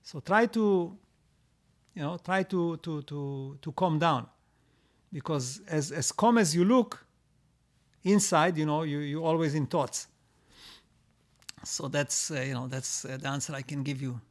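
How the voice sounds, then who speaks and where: A man hosting a live stream, reading out viewer comments and answering them in a halting way, with many restarts and repeated words. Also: on the high side. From Israel. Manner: reads out comments, then answers.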